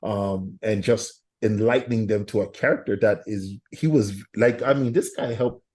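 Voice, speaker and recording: A man's voice: fairly low pitch, normal speed, a little mellow. A Canadian man talking as a podcast guest, rambling and often asking "you know what I'm saying". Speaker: guest artist. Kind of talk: casual podcast interview.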